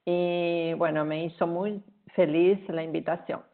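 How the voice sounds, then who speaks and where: Argentine woman, low gravelly voice, tinny call. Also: a little slow. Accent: Argentine Spanish, with Portuguese influence. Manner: relaxed, chatty, many "eh" fillers and restarts. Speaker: guest speaker. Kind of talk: webinar presentation. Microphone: video call. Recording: tinny phone-like online call audio.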